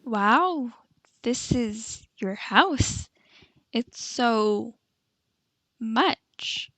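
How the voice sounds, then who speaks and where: Woman acting an awkward guest, very slow. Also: a little high, lively intonation, fairly bright tone, full character voice, low-quality recording. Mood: awkward, dry. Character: awkward, unimpressed young female dinner guest. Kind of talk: scripted radio drama acting.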